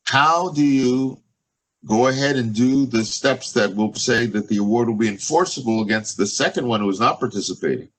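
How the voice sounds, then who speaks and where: A man's voice: mid-range voice, moderate pace, low-quality recording. A man from the United States, a law professor, lecturing over a video call, thinking aloud with many fillers and restarts. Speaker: law professor giving a guest talk.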